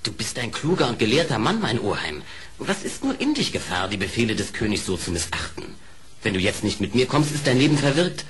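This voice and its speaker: An earnest badger, lively intonation. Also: male voice, medium pitch, brisk, slight background noise. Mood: urgent, admonishing. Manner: respectful, earnest, persuasive. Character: loyal, earnest male badger, pleading nephew. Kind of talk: German radio play acting.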